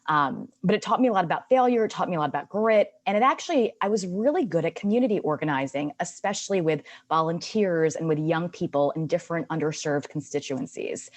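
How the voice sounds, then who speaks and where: Low-voiced woman on a webinar panel. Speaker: panelist, founder. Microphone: video call.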